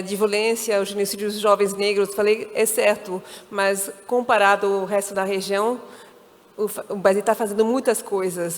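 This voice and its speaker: A woman from Ivory Coast speaking on a conference panel, thoughtful, often searching for words and restarting. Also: medium pitch. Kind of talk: panel discussion. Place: conference stage. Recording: reverberant hall.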